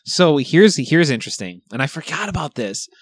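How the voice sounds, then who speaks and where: A man's voice: on the high side, big pitch swings, moderate pace. A young man co-hosting a podcast, chatting casually, rambling, laughing and swearing freely. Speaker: podcast co-host. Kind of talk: casual chat.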